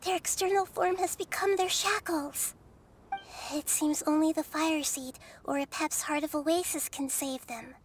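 A woman voicing a gentle, wise, childlike young goddess in an anime game, soft and thoughtful. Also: high-pitched, unhurried, a little hiss or hum. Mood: concerned. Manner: thoughtful, calm, articulate. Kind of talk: scripted anime game dialogue.

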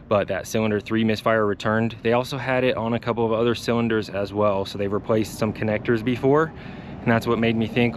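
Chatty low-voiced mechanic venting. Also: male voice, slight background noise. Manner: chatty, frustrated venting, explains repairs step by step. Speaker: mobile mechanic. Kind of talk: casual vlog talking to camera.

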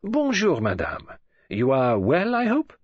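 A man voices a fastidious old detective who talks with formal, precise care. His speech is slow and mournful, scattered with French phrases. The voice is husky, and the recording sounds a bit dull. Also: fairly high pitch, huge pitch swings, dry recording, voice pushed up, heavily acted. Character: fastidious elderly male foreign detective.

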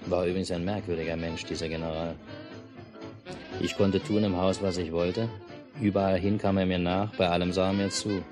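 A man's voice: sits low, close to an everyday voice. An actor playing a placid, unflappable family man in a 1950s radio play, speaking dryly and without hurry. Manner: calm, dry, unhurried. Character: unflappable, placid family man telling his war story. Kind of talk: 1950s German radio play narration and dialogue.

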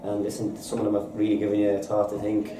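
A man's voice: on the low side, completely flat delivery, recorded from a distance, background noise. An Irish man in his thirties, a football manager giving an upbeat post-match interview, with "listen" in many of his answers. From Ireland. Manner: upbeat, fast and repetitive, says "listen" a lot. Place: bare indoor room at a stadium. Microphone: reporter's handheld recorder held out. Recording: echoey room, off-mic, crowd behind.

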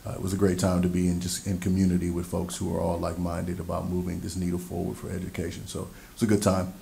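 Deep, gravelly man with a flat delivery. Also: fast, slight background noise. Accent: Pacific Northwest American. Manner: relaxed, upbeat, easygoing. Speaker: school board member. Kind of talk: board meeting report. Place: board meeting room. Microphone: desk microphone.

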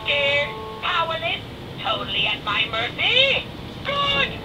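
An actor voicing a short, megalomaniac evil dark sorcerer in a video game, shrill, pompous and taunting. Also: male voice, wildly swooping pitch, takes it slowly, over music, full character voice, poor audio. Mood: gloating. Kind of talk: over-the-top cartoon villain acting.

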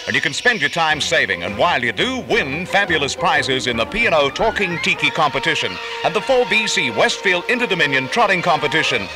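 Aussie guy hosting 70s breakfast radio, animated pitch, bit of music behind. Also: a little fast, few pauses. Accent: Australian. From Australia. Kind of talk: 1970s commercial radio breakfast presenting.

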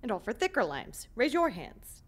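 A woman voicing a controlling, self-appointed male mediator in a comedy fan dub, measured, persuasive and pompous. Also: fairly high pitch, wildly swooping pitch. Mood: soothing, controlling.

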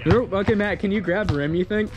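Joking young man, fairly high voice. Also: brisk. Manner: laid-back, joking, laughs often. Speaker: host. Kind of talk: casual DIY vlog. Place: outdoor paved lot beside a building.

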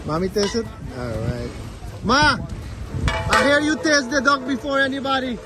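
A man's voice: extremely high pitch, animated, moderate pace. A man with a Guyanese accent hosting a casual family vlog on a beach, joking and teasing the people around him as he films on a phone. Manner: jokey, teasing, laughs often. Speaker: vlog host. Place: sandy beach outdoors. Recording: outdoor beach with music and crowd chatter. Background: background music and crowd chatter.

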